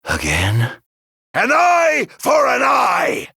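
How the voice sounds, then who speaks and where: Theatrical mocking villain, wildly swooping voice. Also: male voice, very high-pitched, wildly swooping pitch, creaky, bright, forceful tone, breathy edge, no echo, shouting, clean studio sound, heavily acted. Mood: dry disdain, then furious, grandiose. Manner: flamboyant, sardonic, mock-polite, drawn-out delivery. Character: theatrical, mocking middle-aged male villain, sardonically genteel. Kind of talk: video game battle voice lines.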